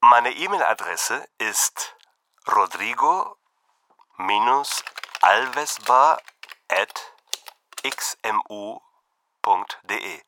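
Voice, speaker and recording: A man playing a friendly young Brazilian-born student with Portuguese as his first language, speaking slowly and carefully in a scripted textbook dialogue. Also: on the low side, animated, bright, forceful tone, exaggerated voice. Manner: slow, carefully enunciated. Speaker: student calling a friend for help.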